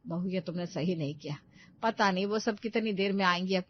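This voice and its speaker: A woman's voice: lowish voice, a little fast, muffled recording, voice pushed down, close to an everyday voice. A woman voicing a middle-aged mother-in-law in a cartoon story, mildly chiding but indulgent. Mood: fretful. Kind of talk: cartoon moral-story dialogue.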